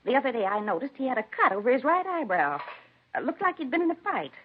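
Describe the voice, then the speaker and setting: A woman's voice: sits high, big pitch swings, fast talker, slight background noise. An actress playing a nosy, prim, chatty neighbor woman who rambles fussily in a 1950s radio drama. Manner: rambling, fussy, gossipy. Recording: muffled 1950s radio recording.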